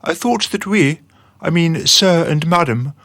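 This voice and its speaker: A man's voice: a little high, a little slow, a bit bright, no echo, clean studio sound. A man playing an eager, deferential young underling in a satirical radio drama, formal and earnest. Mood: apologetic.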